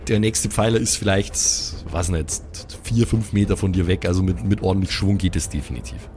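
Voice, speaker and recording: A man's voice: deep voice, brisk, over music. A man, narrating an improvised tabletop game as game master.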